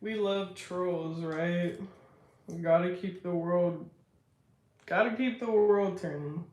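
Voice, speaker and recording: A young woman with a deep, strong voice talks slowly, taking her time between thoughts. She speaks with calm self-assurance on a casual live stream. Her voice bounces around an echoey room. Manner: unhurried, reflective, self-assured.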